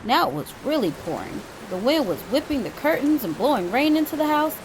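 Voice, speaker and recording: A woman reading a children's story aloud with expressive, dramatic emphasis. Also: high-pitched, a little fast, noisy background. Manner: animated, dramatic emphasis for young listeners.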